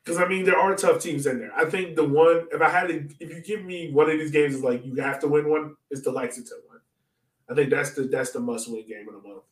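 A man's voice: fairly high pitch, brisk, far from the mic. Echoey guy a bit away from the mic, casual slangy sports podcast cohost. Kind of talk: casual soccer banter and questions.

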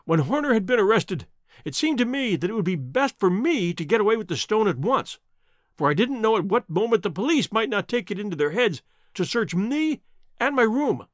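A man voicing a frightened, cringing thief in an audiobook, pleading and stammering with a cracked, shrill voice. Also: sing-song, a little fast, dry recording, clean studio sound. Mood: anxious confession. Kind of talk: dramatic audiobook character reading.

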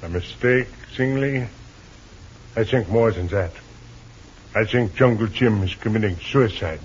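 A man's voice: on the low side, a little slow, natural voice. Actor as anxious young colonial man, crackly radio. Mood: worried, pleading. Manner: formal, pleading, precise wording. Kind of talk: 1940s radio serial acting. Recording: muffled, noisy old radio recording.

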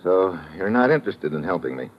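A man's voice: low voice, very animated, brisk, slight background noise. An actor playing a tough, wry American adventurer who narrates his own case in a 1950s radio drama, sardonic and clipped. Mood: guarded. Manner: hard-boiled, sardonic, clipped. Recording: muffled vintage radio recording.

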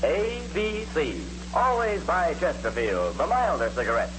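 A man's voice: on the high side, very animated, measured pace, over music, rough recording. A man announcing an old-time radio sponsor's show with punchy slogan delivery.

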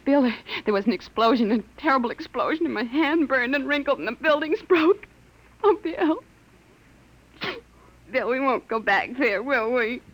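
An actress playing a frightened young wife in 1950s radio drama style, anxious and pleading on a vintage recording. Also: female voice, high voice, animated, moderate pace, poor audio. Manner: anxious, breathy pleading, urgent.

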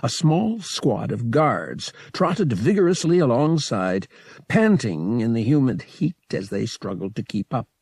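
Male audiobook narrator: husky, gravelly, expressive pitch, reading scripted fiction in a dry close space. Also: measured pace, no echo.

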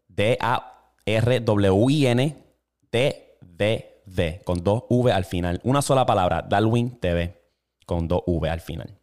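A man's voice: medium pitch, a little mellow, clear, resonant tone. A young man from Puerto Rico with a Puerto Rican accent, hosting a casual solo podcast in a slangy, laid-back way. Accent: Puerto Rican Spanish. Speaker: podcast host. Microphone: single camera with mic.

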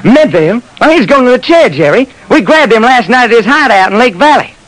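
An actor playing a jovial, cocky police lieutenant in an old radio drama, breezy and teasing, on a noisy recording. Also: male voice, extremely high pitch, expressive, a little fast, fairly bright tone.

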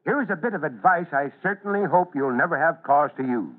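Man acting as no-nonsense fire chief, authoritative, brusque, animated higher voice, thin vintage radio sound. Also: brisk, close to an everyday voice. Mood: earnest, instructive. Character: gruff, impatient, no-nonsense male fire chief. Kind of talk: old-time radio drama acting. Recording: tinny, hissy old radio recording.

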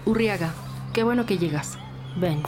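A woman's voice: medium pitch, moderate pace, close to an everyday voice. A woman playing a senior police investigator in an audio drama, methodical and businesslike, with official phrasing and music behind. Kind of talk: scripted audio drama dialogue.

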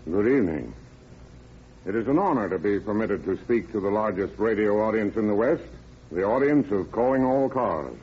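Husky formal man on old radio. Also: on the low side, animated, moderate pace. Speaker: county sheriff, guest introducing a case. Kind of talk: scripted 1930s radio speech. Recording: dull, husky-sounding old radio recording.

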